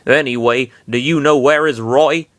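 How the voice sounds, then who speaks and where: A man's voice: mid-range voice, fairly even intonation, normal speed, a bit bright, no echo, voice pushed up, close to an everyday voice. A man voicing a friendly young male monster trainer in a comic reading of fan fiction, earnest and encouraging.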